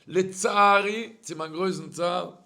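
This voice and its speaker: A man's voice: high-pitched, unhurried, creaky. A middle-aged man telling a story in a sermon, speaking with a Yiddish accent and often swinging his voice up and down. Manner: animated storyteller, voice rising and dropping sharply. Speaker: rabbi giving a religious talk.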